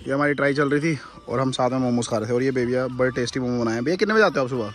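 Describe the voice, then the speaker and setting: A man's voice: mid-range voice, very fast, faint music behind. A young man chats informally for a casual outdoor vlog. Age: young adult. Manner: informal, chatty. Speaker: vlogger. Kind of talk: casual vlog chat while riding and out on the street. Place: outdoors, on a motorbike and at roadside. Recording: loud traffic and wind noise.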